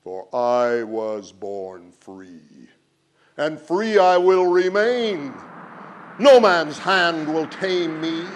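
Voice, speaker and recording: A man voicing a proud male wind spirit who booms his words slowly and scornfully in a spoken story. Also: expressive. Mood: defiant. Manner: booming, scornful, drawn-out words.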